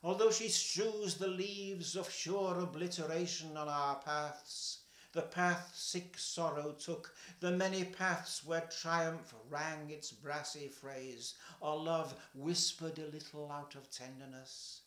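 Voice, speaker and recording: Older man, poetry reading, measured delivery, webcam in echoey kitchen. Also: on the high side, steady tone. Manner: slow, measured, trailing softly at line ends. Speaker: poetry reader. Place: home kitchen, indoors.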